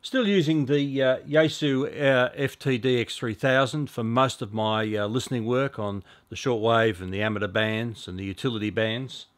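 A middle-aged man presenting a hobby video, explaining casually in a halting, unhurried way. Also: lowish voice. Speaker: amateur radio hobbyist presenter. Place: small indoor radio room.

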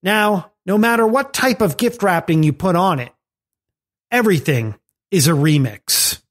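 A man's voice: high voice, lively intonation, measured pace, a bit bright. A man hosting a podcast, reading scripted commentary with wry asides. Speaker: podcast host. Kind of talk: scripted commentary on tech and music.

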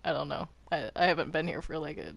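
A woman's voice: sits low, lively intonation, quick pace, vocal fry, a little hiss or hum. A woman chatting casually while gaming in a roleplay server.

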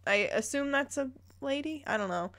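Female YouTuber, slightly high-pitched, dramatic reader with incredulous, playful asides. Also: expressive, normal speed, a bit bright. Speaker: YouTuber reading fan fiction aloud. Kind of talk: dramatic reading with bemused commentary.

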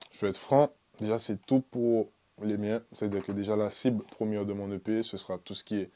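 A man's voice: lowish voice, steady tone, fairly quick, a little hiss or hum. A young man from the Antilles, talking casually and in slang as a music artist being interviewed. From French Antilles (born in Guadeloupe, lived in Martinique and French Guiana). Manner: slangy, informal, peppered with "tu vois" and shout-outs. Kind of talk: casual interview talk to camera. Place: small dark recording studio, indoors.

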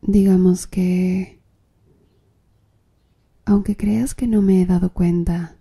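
A seductive female tattoo artist acted by a woman in an ASMR roleplay, teasing the listener in a breathy murmur. Her voice is very dark and mellow, husky, and unhurried. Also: on the low side, fairly even intonation, heavily acted. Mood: seductive, intimate. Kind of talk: Spanish-language ASMR roleplay.

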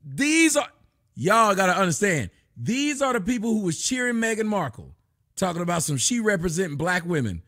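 A middle-aged man hosting a livestream from his home, giving loud, mocking commentary full of cursing on a video clip. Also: high voice, big pitch swings, moderate pace. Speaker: livestream host. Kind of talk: profane comedic commentary on a clip. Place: indoor home room.

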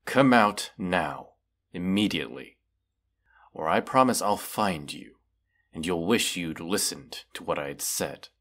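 Deep voice, proud menacing dragon, acted by a man, haughty, unhurried, deliberate pauses, fantasy audio roleplay. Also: lively intonation, a bit bright, heavily acted. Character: proud, menacing wounded male dragon, weary and distrustful of humans. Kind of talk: scripted audio roleplay monologue.

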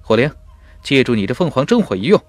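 A man's voice: mid-range voice, big pitch swings, fast, no room sound, soft background music. Audiobook hero, read by a man: young male martial cultivator, determined, urgent, dry sound. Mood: commanding.

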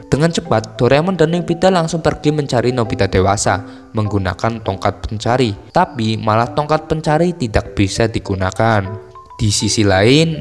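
A man's voice: mid-range voice, big-room sound. A man with an Indonesian accent narrating a story recap in a casual, scripted style. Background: music.

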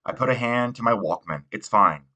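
A man's voice: medium pitch, fairly quick, pushed and bright. A man narrating a young adult novel as an audiobook reader.

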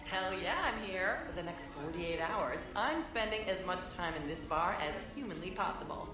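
A woman's voice: on the low side, lively intonation, moderate pace, lightly acted. A woman acting a brash, blunt, rowdy, tipsy soldier on shore leave in a video game. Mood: excited. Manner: loud, blunt, teasing. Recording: very echoey bar, music behind.

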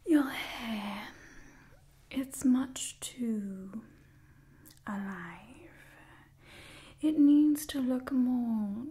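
A young woman playing a haughty, doting immortal vampire in a whispered ASMR roleplay, speaking softly and breathily in a refined, coaxing way. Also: fairly high pitch. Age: young adult. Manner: slow, refined, coaxing, faintly imperious. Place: small indoor set with wooden backdrop. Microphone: close mic, out of frame. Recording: close-miked whisper, noticeable hiss, soft clicks.

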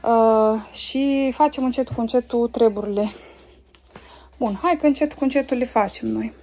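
A woman in her thirties from Romania, chatting casually through her cleaning while filming on her phone at home, pausing often with fillers as she works. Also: on the high side, dark tone. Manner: chatty, talks while doing chores, frequent pauses and fillers. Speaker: family vlogger. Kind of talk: casual clean-with-me vlog narration. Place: family home, indoors. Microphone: phone camera.

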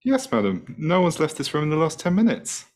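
Actress as formal uniformed attendant, low pitch, swooping intonation, clipped, deferential, radio drama. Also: female voice, lively intonation, fairly quick, darkish tone. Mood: impassive. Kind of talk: radio play acting.

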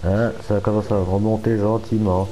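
Low mellow man with a cold. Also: flat delivery, normal speed, low-quality recording. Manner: muttering, swears at setbacks. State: has a cold. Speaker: gaming channel host. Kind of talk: casual gameplay commentary.

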